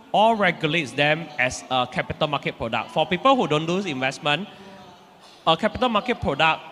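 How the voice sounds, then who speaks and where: A man's voice: fairly high pitch, bright, forceful tone. A man from Singapore, explaining points as a panelist in a live panel discussion. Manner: explanatory, adds points from a global view. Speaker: company executive, panelist. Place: conference stage at a live event. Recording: reverberant live conference hall.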